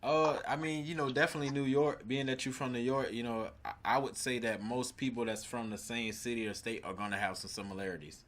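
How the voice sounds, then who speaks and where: A man's voice: medium pitch, steady tone, fairly quick, few pauses, fairly bright tone. A man interviewing a musician, asking loose questions padded with fillers. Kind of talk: casual interview.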